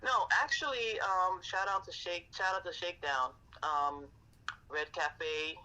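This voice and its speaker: A woman's voice: fairly low pitch, a little slow, bright, forceful tone, lo-fi sound. A woman from Guyana, a musician, talking easily over the phone in an interview and laughing between answers. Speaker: musician guest. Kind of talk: casual interview. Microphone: phone call.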